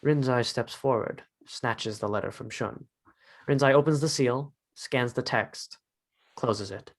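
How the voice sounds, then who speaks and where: A man's voice: medium pitch, measured pace. A man reading stage directions as the narrator of a scripted drama.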